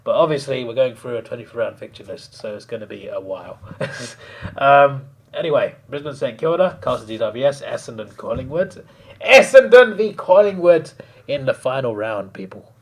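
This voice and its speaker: A man chatting casually on a live stream, hoarse, rambling and laughing often. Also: mid-range voice, expressive, moderate pace.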